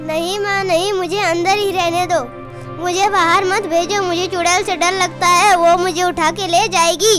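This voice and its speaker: A woman's voice: very high voice, steady tone, normal speed, over loud music. A woman voicing a timid, innocent village boy in a Hindi cartoon story, in a childlike pleading manner. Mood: fearful pleading.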